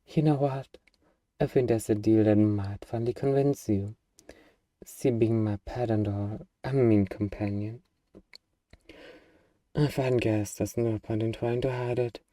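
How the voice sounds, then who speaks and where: Guy doing an eager cuddly demon trying to sell you a deal, super mellow dark voice, playful asmr roleplay, bit away from the mic. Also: on the low side, steady tone, a little slow, long pauses, no room sound, recorded from a distance, lightly acted. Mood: coaxing, pleading. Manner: wheedling, playfully earnest, salesman-like. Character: eager, bargaining male demon craving a cuddly human pet. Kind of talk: ASMR roleplay, acted monologue to the listener.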